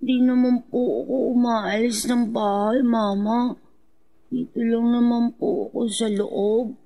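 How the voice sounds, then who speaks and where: A woman's voice: on the high side, fairly even intonation, slow, dark tone, low-quality recording. A woman voicing an innocent, polite little boy in a Filipino radio drama.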